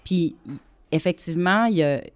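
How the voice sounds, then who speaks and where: A woman's voice: fairly low pitch, moderate pace, clear, resonant tone. A woman with a Québécois accent speaking hesitantly with many fillers about community development.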